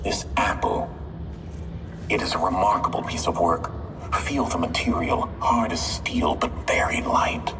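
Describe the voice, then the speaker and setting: An actor playing a brooding older man fascinated by a relic in a video game, deliberate and hushed, with a deep breathy voice. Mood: absorbed, quiet. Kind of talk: video game cutscene acting. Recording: distant echoing hall, music behind.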